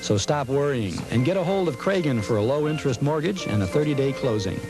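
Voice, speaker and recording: A man reading a bank commercial in a smooth, reassuring way. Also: mid-range voice, lively intonation, fairly quick, over music. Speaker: commercial announcer. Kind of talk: read radio ad.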